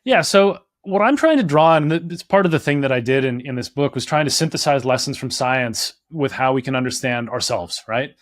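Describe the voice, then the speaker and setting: Fast-talking young man from Minnesota. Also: mid-range voice, no room sound, clean studio sound. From Minnesota, United States. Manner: fast, animated, full of "like" and "right?". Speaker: author and guest. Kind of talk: conversational interview answers.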